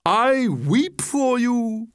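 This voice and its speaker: A man voicing a pompous, greedy walrus from a storybook poem, talking in a slow, grandiose way with false sympathy. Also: on the high side, sing-song. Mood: mock sorrowful. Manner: slow, drawn-out, grandiose delivery. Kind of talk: storybook verse character reading.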